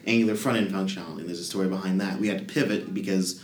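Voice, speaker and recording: A man's voice: deep voice, fairly even intonation, racing, roomy sound. A man, a guest, telling at length and with enthusiasm about his team's hackathon project in a casual podcast chat. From Denver area.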